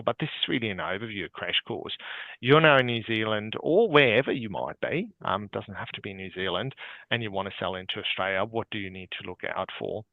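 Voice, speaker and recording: A man's voice: lowish voice, no echo. A man who grew up in Singapore, an accountant presenting a webinar in a friendly, informal way over a video call. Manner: friendly, informal, encourages questions. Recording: tinny phone-like video call audio.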